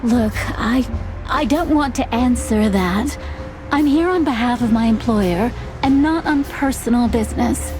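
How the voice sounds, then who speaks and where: A woman's voice: fairly high pitch, measured pace, music in the background, close to an everyday voice. A woman playing a timid but dutiful young fawn secretary in a film-noir video game, polite, reserved and a little hesitant yet composed. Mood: defensive, polite.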